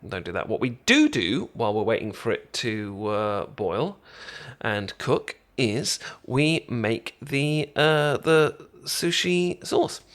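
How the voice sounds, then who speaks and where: A man plays a friendly young British guy in an intimate ASMR roleplay. He speaks slowly at a middling pitch, rambling and tripping over his words. He comes across as an eager best mate showing someone how it's done. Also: sing-song, fairly bright tone. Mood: chatty, instructive. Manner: halting, stammering, rambling. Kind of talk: ASMR roleplay, casual chat.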